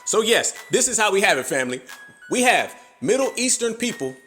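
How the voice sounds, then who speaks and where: High-voiced man ranting to his listeners. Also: big pitch swings, fairly quick, sharp tone, soft background music. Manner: emphatic, profane, addresses audience as family. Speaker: podcast co-host. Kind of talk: commentary to listeners.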